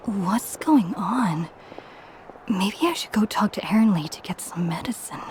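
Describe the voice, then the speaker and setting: A woman voicing a kindly, forgetful villager in a video game, hesitant and halting, sounding tired and flustered. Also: medium pitch, animated, darkish tone, slight background noise, low-quality recording. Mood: worried, flustered. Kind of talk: English video game voice acting.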